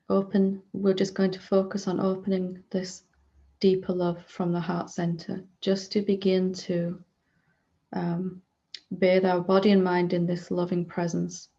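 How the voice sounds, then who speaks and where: A woman guides a meditation in a soft-edged, mellow voice with a noticeable creak. Her delivery is very even and gentle, broken into short phrases with generous pauses. The recording sounds slightly dull. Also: lowish voice. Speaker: spiritual teacher leading a guided meditation.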